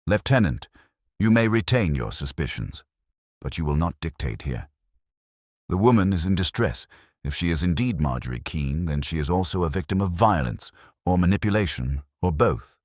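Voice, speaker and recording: A man voicing an imperious genius detective in an audiobook, deep, composed, formal and pedantic. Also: very animated, normal speed, no echo, studio quality. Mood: commanding. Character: imperious, dignified male genius detective, coolly immovable.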